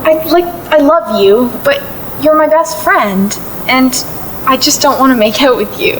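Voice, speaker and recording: A young woman playing a frank, cheeky high school best friend in an audio play, casual and teasing. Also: unhurried, a bit bright, a little hiss or hum, rough recording. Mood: gentle, frank. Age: teenager or young adult. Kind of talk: contemporary audio play acting.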